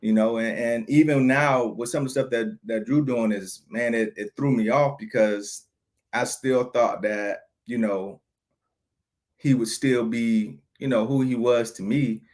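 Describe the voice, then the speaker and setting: A man's voice: mid-range voice, steady tone, a little slow, a little mellow. A man in his thirties giving an unscripted personal monologue, frank and aggrieved, often restarting and repeating phrases. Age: 30s. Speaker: vlogger.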